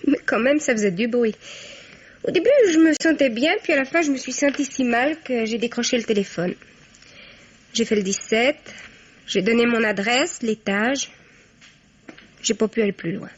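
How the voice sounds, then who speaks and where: An actress playing a frank, cheeky young student daughter in a 1950s French radio mystery. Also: female voice, fairly high pitch, normal speed, pauses often, slightly rough. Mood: wry confession. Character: brash, wry young student daughter, freshly jilted. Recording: dull vintage radio recording.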